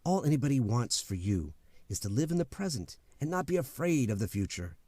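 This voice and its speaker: A man's voice: mid-range voice, big pitch swings, a little fast, warm tone, dry recording. A man playing a blunt, easygoing, footloose suitor with a casual, candid manner in a radio drama. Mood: frank, earnest. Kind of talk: old-time radio drama acting.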